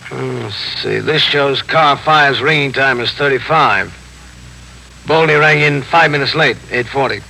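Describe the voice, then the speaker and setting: An actor playing a stern, methodical police captain in a 1950s radio drama, speaking in a measured, official and probing way. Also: male voice, mid-range voice, gravelly, sharp tone. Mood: probing, businesslike. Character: police captain narrating and questioning his men, stern, methodical. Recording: faintly noisy 1950s radio broadcast.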